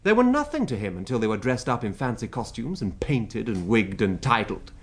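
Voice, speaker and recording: A British royal secretary in a radio drama. He is talkative and wryly amused, his mid-pitched voice swinging widely as he holds forth in emphatic, rhetorical flourishes. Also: very animated, a little fast. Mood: wry, reflective. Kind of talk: BBC radio drama acting.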